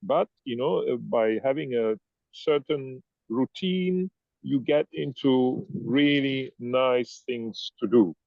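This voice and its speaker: A gravelly man with a mixed German-Italian accent, German being his first language. He rambles and hunts for words in a casual interview. The sound is dry and dull, like a lo-fi online call. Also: mid-range voice, slow pace, dry recording. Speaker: guest. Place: Ibiza.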